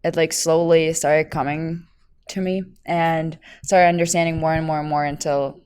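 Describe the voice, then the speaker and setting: A woman's voice: deep voice, level tone, normal speed, darkish tone, clear, resonant tone. A young woman who grew up in Lithuania and speaks English as a later language, chatting as a podcast guest and laughing often as she tells stories. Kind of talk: casual interview chat.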